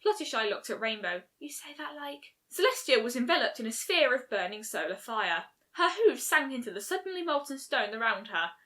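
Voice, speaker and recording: Bright, high-voiced woman narrating a story. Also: big pitch swings, fairly quick, few pauses. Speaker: fan fiction reader.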